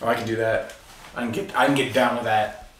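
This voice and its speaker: A man's voice: on the low side, level tone, fast, fairly bright tone, reverberant, far from the mic, slight background noise. A man who sings the vocals, exhausted after a session, joking around in a home recording room. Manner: joking, playful banter.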